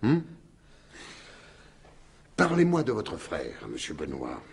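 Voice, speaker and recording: Wry, low-voiced police commissioner, very animated. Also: male voice, takes it slowly, slightly rough, exaggerated voice. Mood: soothing, probing. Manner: theatrical, insistent, repeats words for effect. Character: wry, probing French police commissioner, excitable then soothing. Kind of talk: 1950s-style French radio crime drama acting.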